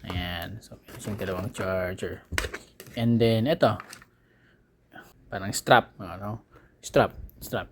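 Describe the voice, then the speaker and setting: A man's voice: fairly low pitch, slow pace, pauses often, a little hiss or hum. A man with a Filipino accent giving a casual unboxing and review, informally explaining things step by step to his viewers. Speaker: vlogger, product reviewer.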